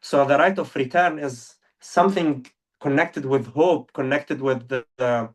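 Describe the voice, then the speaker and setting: A young man born in Lebanon, a non-native English speaker, talking earnestly as an interview guest, halting with many fillers. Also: mid-range voice, far from the mic. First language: Arabic. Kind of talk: casual interview talk. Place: now living in Germany.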